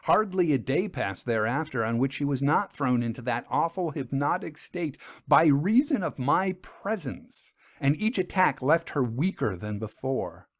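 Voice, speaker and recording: A man's voice: mid-range voice, big pitch swings, measured pace, few pauses, no room sound. A middle-aged man giving a dramatic reading of a gothic first-person tale, grave and sorrowful, his voice rising in anguish at its peaks. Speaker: narrator.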